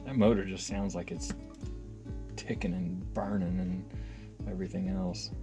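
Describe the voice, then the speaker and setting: A man's voice: low voice, flat delivery, unhurried, music in the background. A man hosting a casual, unscripted gear demonstration, enthusiastic, rambling along with muttered asides and self-corrections. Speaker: hobbyist video host and reviewer.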